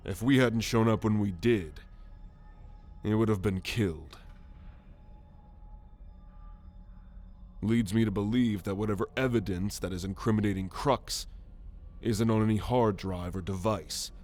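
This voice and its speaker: A man voicing a proud, gruff alien military renegade in an ASMR roleplay, haughty and sardonic in a measured delivery. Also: fairly low pitch, darkish tone, natural voice. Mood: grim, reflective. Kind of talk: ASMR roleplay monologue to the listener.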